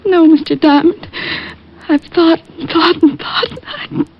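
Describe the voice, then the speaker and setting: A grieving young woman with a very high, tearful voice. She is distraught, in the melodramatic manner of old radio. The recording is muffled. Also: steady tone, a little slow. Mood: tearful, bewildered.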